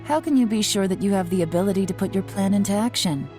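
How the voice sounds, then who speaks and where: An actress playing a cool, perceptive investigator in a video game, measured, analytical and matter-of-fact. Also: female voice, mid-range voice, dark tone, soft background music, natural voice. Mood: cool, businesslike.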